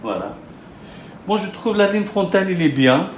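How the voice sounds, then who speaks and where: A man who is not a native speaker, explaining as a surgeon in a halting, repetitive consultation style. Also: high-pitched, sing-song, slight background noise. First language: not French. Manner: halting, repetitive phrasing in non-native French. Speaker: hair transplant surgeon presenting a patient. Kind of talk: explanatory consultation talk, interviewing. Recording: echoey room with loud guitar music. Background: guitar music.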